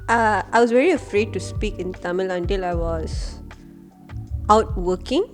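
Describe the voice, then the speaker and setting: A woman with a Malaysian English accent tells a story in a chatty, candid way, at a middle pitch. Faint music runs underneath her voice. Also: moderate pace. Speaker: podcast co-host. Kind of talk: casual chat.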